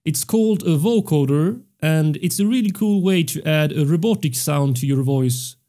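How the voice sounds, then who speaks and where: A young man hosting a music production tutorial, explaining step by step in a measured way into a close studio mic. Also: a little high, warm tone. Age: young adult. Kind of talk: tutorial narration. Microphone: close studio microphone on a stand.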